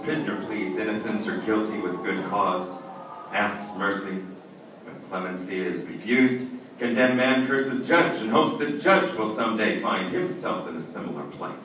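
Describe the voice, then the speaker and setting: An actor playing a stern, cold judge in old-time radio drama style, with formal, declamatory delivery. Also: male voice, on the low side, moderate pace, fairly bright tone, faint music behind, natural voice. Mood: coldly weary. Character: stern, haunted male judge, cold and unbending. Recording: very echoey, distant, noisy stage recording.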